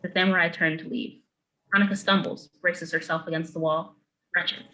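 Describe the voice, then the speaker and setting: Bright, low-voiced woman narrator. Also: little pitch movement, moderate pace, low-quality recording. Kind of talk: reading screenplay stage directions.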